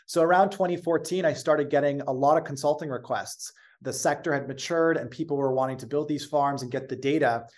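A man with an American accent, a company founder talking as an interview guest, telling his story in a measured, fluent way with the odd filler. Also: fairly high pitch, a little mellow. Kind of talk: casual interview talk.

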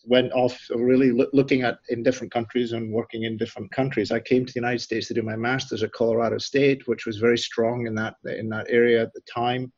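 A man's voice: mid-range voice, little pitch movement, fast, hardly any pauses, a little mellow, dry recording, dull audio. A middle-aged man from Scotland with a Scottish accent, a guest answering interview questions thoughtfully, often restarting and repeating words. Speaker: institute director, guest.

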